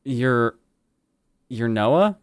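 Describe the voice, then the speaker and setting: A man acts out a confused young man in a script reading. He speaks haltingly in a mid-pitched voice, dazed and unsure of the friend in front of him. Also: lively intonation. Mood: dazed, hesitant. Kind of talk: informal live script reading.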